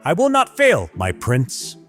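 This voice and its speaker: A dutiful insect-creature officer, performed by a man, formal and earnest. The pitch swings very widely and sits a bit high, with long hall reverb on the voice. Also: huge pitch swings, measured pace, fairly bright tone, faint music behind, heavily acted. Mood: solemn, determined. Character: dutiful changeling officer tutoring a young prince. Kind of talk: dramatized story narration.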